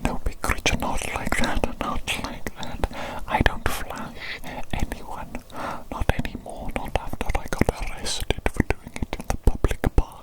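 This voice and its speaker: A woman voicing an eccentric, theatrical evil doctor in a breathy whisper for an ASMR medical roleplay, often repeating words and phrases. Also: natural voice, rough recording. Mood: mock indignant.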